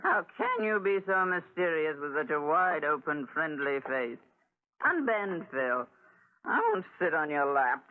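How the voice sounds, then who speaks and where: A woman's voice: low-pitched, lively intonation, unhurried. A brash middle-aged woman who runs a dockside bar in a 1940s radio drama. She is nosy and coaxing, with theatrical, widely swinging intonation. The sound is a thin, narrow old broadcast. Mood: coy, prying. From United States. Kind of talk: 1940s radio adventure acting. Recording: tinny 1940s radio recording.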